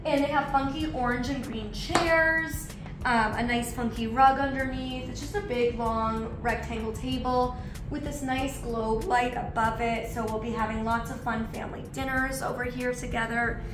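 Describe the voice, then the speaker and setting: A woman's voice: on the high side, fairly even intonation, moderate pace, noisy background. A woman in her thirties chatting enthusiastically as she walks through a house on a vlog tour, recorded on a handheld camera. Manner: enthusiastic, chatty, walking around. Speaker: vlogger giving a house tour. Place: large bright house interior, tiled floors. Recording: echoey room with music under. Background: background music.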